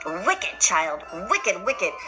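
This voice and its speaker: A woman's voice: lowish voice, big pitch swings, extremely slow, voice pushed down, full character voice. A woman voicing a cruel, scheming stepmother who speaks in a cold, commanding way. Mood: scolding. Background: music.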